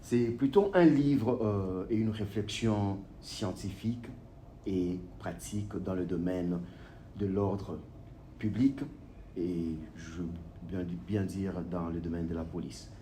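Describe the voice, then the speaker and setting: Man, low, mellow, hesitant. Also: slow pace, slight background noise. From Congo. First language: French. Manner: hesitant, many fillers and restarts, grows animated. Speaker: guest author and police officer. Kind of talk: interview answers.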